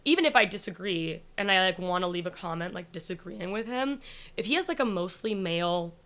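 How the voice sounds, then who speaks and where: Bright, raspy-voiced young woman chatting. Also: on the low side, expressive, brisk. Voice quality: naturally raspy voice. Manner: talks in a rush with many likes and restarts, laughing often. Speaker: comedian guest. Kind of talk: casual podcast chat.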